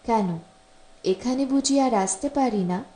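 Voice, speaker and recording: A woman's voice: a little high, slow, dark tone. A woman voicing a polite, bantering married man visiting as a guest in an audiobook story. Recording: roomy, echoing voice recording.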